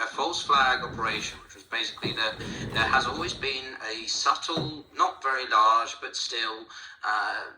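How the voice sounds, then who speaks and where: A man's voice: medium pitch, bright, forceful tone, reverberant, rough recording. A man explaining political history in a lecturing way, with frequent fillers and restarts.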